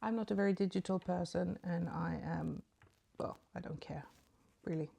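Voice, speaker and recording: Lady rambling thru her makeup, lots of ums, lowish voice, sleepy morning vibe. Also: normal speed, vocal fry, warm tone, slightly rough. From Sweden. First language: Swedish. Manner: rambling and chatty, many ums. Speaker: beauty vlogger. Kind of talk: casual makeup tutorial chat.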